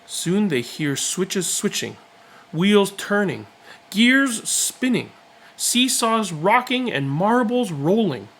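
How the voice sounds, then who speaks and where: Guy reading a kids picture book, takes it real slow, super expressive up and down delivery. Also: high voice. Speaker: read-aloud storyteller.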